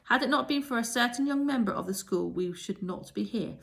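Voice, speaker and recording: A woman's voice: a little high, animated, brisk, creaky, a bit bright, pitched above the performer's own voice. A woman voicing a kindly, commanding headmistress who makes formal proclamations in a children's storybook. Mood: proclaiming, commanding. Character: kindly, commanding old headmistress addressing her pupils.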